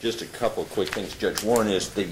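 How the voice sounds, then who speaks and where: Deep-voiced male defense lawyer, noisy recording. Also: a little fast. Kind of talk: courtroom argument to a judge. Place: indoor courtroom.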